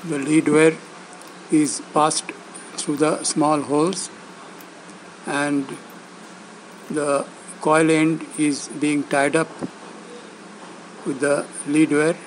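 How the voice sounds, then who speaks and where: A man narrating instructions step by step, speaking in short, halting phrases with pauses between them. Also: a little high, flat delivery, slight background noise, poor audio. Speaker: instructor demonstrating loudspeaker assembly.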